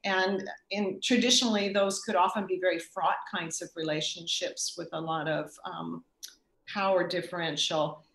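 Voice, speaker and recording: A woman's voice: lowish voice, fairly even intonation, creaky, a bit bright. Thoughtful woman in an echoey room. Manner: thoughtful, with restarts and pauses. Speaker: guest speaker. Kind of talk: answering audience questions at a talk.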